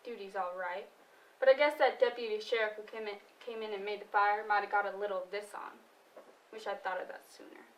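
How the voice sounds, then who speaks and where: A woman's voice: mid-range voice, steady tone, brisk, lightly acted. A woman acting in a stage play as a blunt, defensive farm wife with a dry, folksy manner. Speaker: stage actor. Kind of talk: amateur stage play dialogue. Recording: distant microphone, noticeable room noise.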